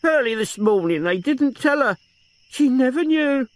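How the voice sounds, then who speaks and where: Frail, weepy aunt in her seventies, man voicing her, high and wavering, protective. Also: expressive, a little slow, dry recording. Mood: tearful. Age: 70s. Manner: tremulous, emotional, protective. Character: grieving elderly aunt, frail and tearful.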